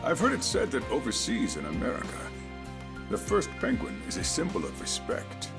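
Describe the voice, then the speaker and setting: A man's voice: mid-range voice, wildly swooping pitch, moderate pace, creaky, over music. An actor playing a courteous, intellectual middle-aged man in a game dub, speaking formally and theatrically. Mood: intrigued.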